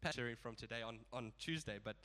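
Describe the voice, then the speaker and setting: Male preacher with a head cold, nasal and bright, chatty with laughing asides. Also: medium pitch, fast talker. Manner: casual, chuckling asides. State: stuffy blocked nose. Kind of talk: sermon.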